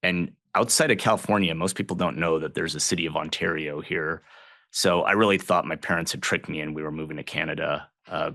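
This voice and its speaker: A man from Southern Illinois, a guest on a podcast, talking in a relaxed, conversational way with fillers and restarts. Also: deep voice, creaky, dry recording, studio quality. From Southern Illinois, United States. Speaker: guest, water agency general manager. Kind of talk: casual podcast interview.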